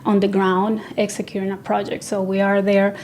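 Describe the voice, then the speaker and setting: Venezuelan-born woman, design business owner, hesitant studio interviewee, mid-range pitch. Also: monotone, moderate pace, a little mellow, a little hiss or hum. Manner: hesitant, many fillers and restarts. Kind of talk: casual interview answers. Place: radio studio.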